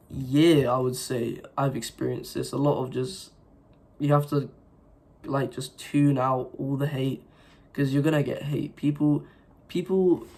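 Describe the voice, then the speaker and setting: A young man talks straight to his viewers with a sore, slightly scratchy throat from being ill. His delivery is earnest and rambling, full of fillers and restarts, at an ordinary pitch. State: sore throat, recovering from illness. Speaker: self-improvement vlogger. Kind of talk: casual motivational talk to camera.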